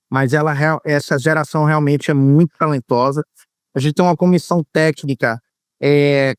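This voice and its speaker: Measured man from Bahia, smooth voice. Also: a little high, dry recording, studio quality. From Salvador, Bahia, Brazil. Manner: measured, explanatory, many hesitations. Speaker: former marketing executive, guest. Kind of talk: interview answers.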